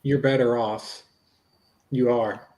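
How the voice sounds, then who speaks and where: A man's voice: medium pitch, fairly even intonation, takes it slowly, a little mellow, close to an everyday voice. A man reading the part of a reassuring, plain-spoken investigator in a conversational dramatic reading of a police interview. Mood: calm, supportive.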